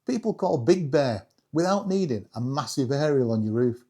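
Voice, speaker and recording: Animated man, mellow voice. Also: medium pitch, normal speed. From England. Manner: self-deprecating, jokey, addresses viewers directly. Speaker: hobbyist video creator and host. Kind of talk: casual chatty vlog presentation.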